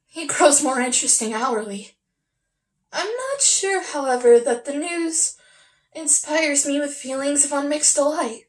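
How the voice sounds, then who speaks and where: A woman performing a comic stage monologue as a haughty young society lady, catty, with affected, emphatic politeness. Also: high voice. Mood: haughty, intrigued. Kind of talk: stage monologue from a comedy of manners.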